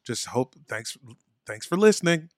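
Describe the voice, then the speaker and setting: Man, podcast co-host, deadpan jokes, slightly high voice, dry close sound. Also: normal speed, no room sound. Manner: joking, deadpan asides. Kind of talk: casual comedic chat.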